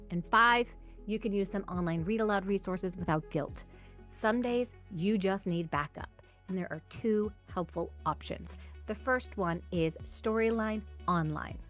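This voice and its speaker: Woman presenter for parent tutorial, medium pitch, reassuring and emphatic, faint music underneath. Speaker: reading tutor and host. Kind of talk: instructional talk to camera for parents.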